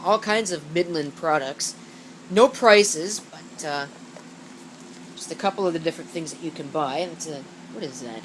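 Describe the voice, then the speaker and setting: A woman's voice: low voice, low-quality recording. A woman giving a casual, unscripted product walkthrough, rambling and matter-of-fact as she reads out packaging and corrects herself. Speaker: gadget reviewer showing an unboxing. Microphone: built-in camera microphone. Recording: steady background hum, amateur camera audio.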